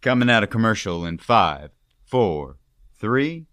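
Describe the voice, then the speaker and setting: An actor playing an easygoing radio station producer, chatting casually with colleagues. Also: male voice, fairly low pitch, big pitch swings, measured pace, background noise. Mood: relaxed. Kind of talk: casual workplace chat.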